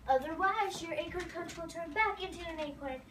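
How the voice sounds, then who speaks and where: A woman playing a kindly, reassuring insect fairy godmother in a readers theater show, gentle and grand. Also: very high voice, brisk. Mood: cautioning. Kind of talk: readers theater stage performance. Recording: noisy, echoey hall from far off.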